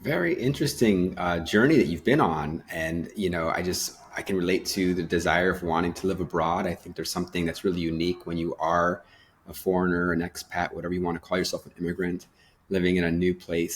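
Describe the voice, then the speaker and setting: A man's voice: low-pitched, steady tone, fairly quick. A man hosting an interview podcast, reading a polished intro and then asking questions in an easygoing, earnest way. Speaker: podcast host.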